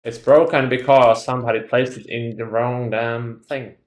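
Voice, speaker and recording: A man's voice: medium pitch, steady tone, moderate pace, reverberant, rough recording. A man from Finland, joking and laughing in casual gaming chat.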